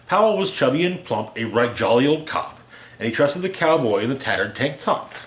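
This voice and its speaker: A man reading a rhyming holiday parody aloud as a storyteller, with theatrical, deliberate delivery. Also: mid-range voice, sing-song, a bit bright. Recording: roomy, echoey home recording.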